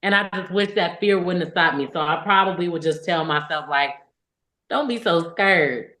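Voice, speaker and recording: A woman's voice: on the low side, normal speed, a bit bright. A young adult woman, a singer and podcast guest, talking candidly and animatedly about her life in a casual interview. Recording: echoey room.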